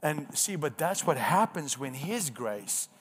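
A man's voice: on the high side, sing-song, a little slow, a little hiss or hum. A man in his thirties with an Afrikaans accent and Afrikaans as his first language, preaching a personal testimony in an earnest, emphatic storytelling way. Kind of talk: sermon testimony.

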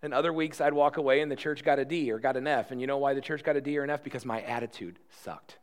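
A man's voice: mid-range voice, fast. A man in his early forties preaching a conversational, earnest sermon with rhetorical questions and self-deprecating asides, often repeating words and restarting. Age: 40s. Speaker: pastor.

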